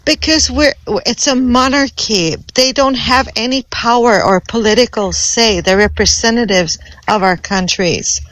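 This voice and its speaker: A Swedish woman with a Swedish accent argues in English, her second language, pressing the same point again and again. Her voice sits fairly high. The sound is dry and close. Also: measured pace, hardly any pauses, fairly bright tone, no room sound, rough recording. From Sweden. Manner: insistent, repeats her point. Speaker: debate panelist. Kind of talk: heated panel debate.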